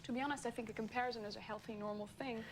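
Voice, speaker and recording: A woman whose first language is Italian, a singer being interviewed on a talk show, expressive and giggly. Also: fairly high pitch, racing, noisy background.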